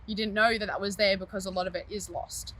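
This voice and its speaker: Bright-voiced young Australian woman. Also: medium pitch, steady tone, low-quality recording. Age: young adult. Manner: measured, explaining patiently. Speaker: interviewee. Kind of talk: casual interview answers.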